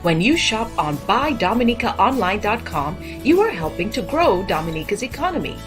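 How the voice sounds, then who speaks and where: A woman's voice: mid-range voice, very animated, normal speed. A woman reading a scripted radio commercial as the announcer. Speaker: advertisement announcer. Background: music.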